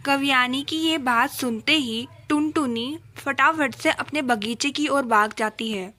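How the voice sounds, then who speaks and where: Lady narrating a kids story, high voice, really slow and scripted. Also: sharp tone.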